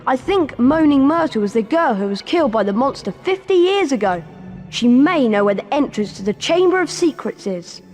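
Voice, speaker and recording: Schoolboy wizard, high lively voice, earnest, urgent, video game, music behind. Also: female voice, normal speed. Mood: determined. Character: earnest, determined schoolboy wizard, about twelve.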